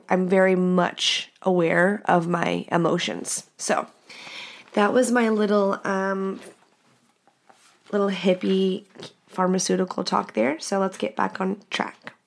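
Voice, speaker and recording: A woman in her thirties hosting a podcast alone, blunt, profane and candid, with a raspy, nasal voice that is congested from a cold. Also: mid-range voice. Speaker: podcast host. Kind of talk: casual solo podcast monologue.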